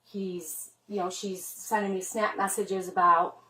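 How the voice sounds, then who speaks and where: A middle-aged mother explains things to an officer in a rambling, anxious way, leaning on "like" after every few words. Her voice sits at an ordinary middle pitch. It is picked up from a few steps away by a body camera in an echoey room. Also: flat delivery, a little slow, bright, forceful tone. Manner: rambling, heavy use of "like" fillers, earnest and worried. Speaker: mother reporting to police. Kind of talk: spoken statement to an officer. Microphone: police body camera worn by an officer. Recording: roomy, distant body camera audio.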